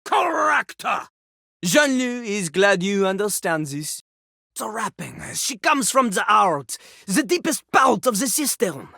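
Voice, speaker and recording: A man's voice: high-pitched, big pitch swings, takes it slowly, creaky, sharp tone, no room sound, studio quality, full character voice. An actor playing a pompous, theatrical rapper toilet-man in a video game, boastful and grandstanding. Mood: lofty, lecturing. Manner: boastful, third-person grandstanding. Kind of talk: video game character acting.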